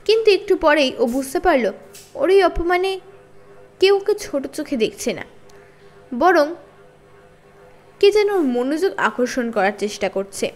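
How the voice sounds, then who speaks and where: A woman reading a children's story aloud in an expressive, dramatized narrating style. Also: sits high, a little slow, long pauses. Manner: dramatized, acting out dialogue. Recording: faint music bed. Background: soft background music.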